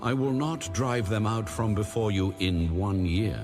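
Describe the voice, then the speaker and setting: A man narrating scripture in a solemn, measured, authoritative way, like an audio Bible. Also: lowish voice. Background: background music.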